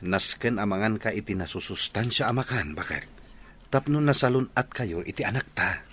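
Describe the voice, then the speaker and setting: An actor playing a gentle, worried young husband and expectant father, with an Ilocano Filipino accent in radio drama style. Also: lowish voice, expressive, moderate pace, breathy edge. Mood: tender, caring. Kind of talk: radio comedy-drama acting.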